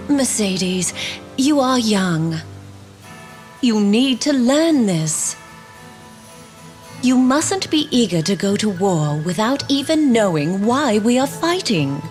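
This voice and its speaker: A woman's voice: on the high side, sing-song, slow pace, long pauses, a little mellow, faint music behind. A woman playing a regal, indulgent fairy queen and mother in a fantasy game, formal and stately. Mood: firm, instructive. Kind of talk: fantasy video game voice acting.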